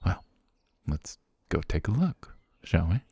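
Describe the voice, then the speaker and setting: A very deep, gravelly, breathy voice from an obsessive male butler, as a man acts him out, hushed and half-whispered right against the mic. He speaks slowly and gently, with a menacing calm. The sound is dry and close. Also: no echo, heavily acted. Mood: soothing possessive. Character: obsessive soft-spoken male butler kidnapper. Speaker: voice actor. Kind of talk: ASMR roleplay.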